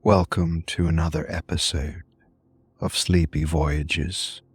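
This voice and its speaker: A man narrating a scripted bedtime story in a soothing, deliberate manner, with long pauses between short phrases. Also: very deep voice, flat delivery, husky. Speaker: host and narrator of a sleep story podcast.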